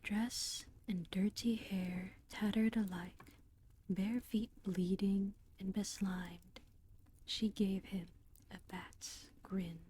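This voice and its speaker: Very slow whispering woman storyteller. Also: medium pitch, husky. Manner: soft steady whisper. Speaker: audiobook reader.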